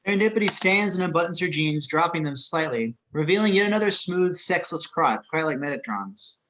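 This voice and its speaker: A man's voice: fairly high pitch, lively intonation, fairly quick, a bit bright. A man narrating the action lines of a script at a table read. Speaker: stage-direction narrator. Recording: dull lo-fi home mic.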